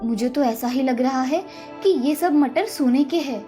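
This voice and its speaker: A woman's voice: high voice, normal speed, dark tone, faint music behind, close to an everyday voice. A woman voicing a kind, helpful married village woman in a children's story, caring and reassuring. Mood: amazed.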